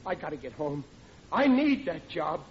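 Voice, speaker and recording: Flustered family man, high-pitched, stammering, blustering, self-pitying, old radio sitcom, dull noisy sound. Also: big pitch swings, normal speed, creaky. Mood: panicked. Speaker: radio comedy actor. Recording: muffled, noisy vintage radio with audience.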